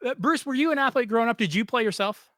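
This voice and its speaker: An eager male podcast host with a high, bright, forward voice runs a casual sports interview. The sound is dry and close, with no room echo around it. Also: quick pace. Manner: eager, enthusiastic introductions and questions.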